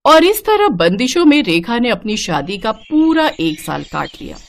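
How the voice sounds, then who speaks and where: A woman narrating a scripted cartoon family story in an expressive storytelling manner. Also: a little high, normal speed, creaky.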